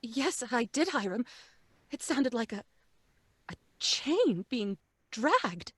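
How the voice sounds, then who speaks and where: A woman's voice: on the high side, big pitch swings, slow, fairly bright tone, husky, no room sound, full character voice. An actress playing a commanding, easily alarmed wife and mother in dramatic old-time radio style. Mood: tense. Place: small dark home booth. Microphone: close studio microphone.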